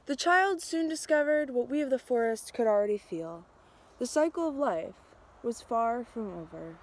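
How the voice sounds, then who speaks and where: A young adult woman reading a picture book aloud outdoors, with a measured, expressive storytelling delivery. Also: fairly high pitch, a little hiss or hum. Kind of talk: storybook read-aloud, narrated as a tree. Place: outdoors in snowy winter woods.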